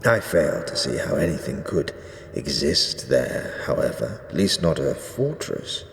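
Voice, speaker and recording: A man playing an ancient, zealous skeleton high priest in a fantasy audio drama, speaking gravely in archaic, ceremonious phrases. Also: sing-song, soft background music, exaggerated voice. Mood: measured, somber. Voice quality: deep, drawn-out delivery. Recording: echoey reverberant hall, faint noise.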